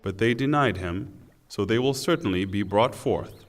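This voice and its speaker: A man reading a scripture translation in a solemn, formal, deliberate way, with pauses. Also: on the low side. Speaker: translation reader. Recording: long hall reverb.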